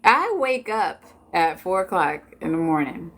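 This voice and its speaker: A woman's voice: on the low side, very animated, unhurried, a bit bright. A woman in her late forties from Washington D.C., chatting casually and jokingly with viewers on a live stream. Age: 40s. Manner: chatty, laid-back, joking.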